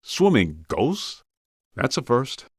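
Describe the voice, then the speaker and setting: A man's voice: medium pitch, wildly swooping pitch, slow pace, slightly rough, no room sound, clean studio sound, heavily acted. A man playing a streetwise, wisecracking ghost hunter in a video game, speaking in a colloquial, wry, plain-spoken way. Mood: dry, skeptical. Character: streetwise, wisecracking male ghost-hunting team member.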